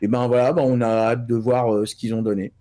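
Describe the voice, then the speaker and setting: A man talking casually as a panel columnist on a geek news show, with halting delivery and drawn-out fillers. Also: medium pitch, level tone, mellow. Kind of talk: casual news presentation and discussion.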